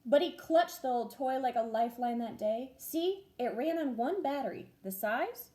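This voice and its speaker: Expressive, sing-song teacher reading aloud. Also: female voice, high-pitched, normal speed. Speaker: first grade teacher. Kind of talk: reading a rhyming picture book aloud.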